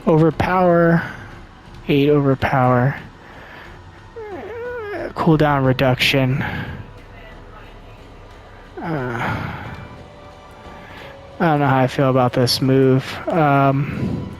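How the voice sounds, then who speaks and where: Soft-spoken man thinking aloud over gameplay. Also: medium pitch, very slow, pauses often, soft background music, low-quality recording. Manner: rambling, thinking aloud with many fillers. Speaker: let's play commentator. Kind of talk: casual gameplay commentary. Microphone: desktop microphone.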